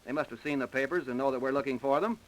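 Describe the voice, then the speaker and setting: A man's voice: mid-range voice, rapid-fire, natural voice, rough recording. Guy doing a old-time radio police captain, american, sardonic and pressing, plain-spoken, vintage recording. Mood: hard, insistent. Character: skeptical, dogged police captain grilling a suspect. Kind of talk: 1930s radio acting.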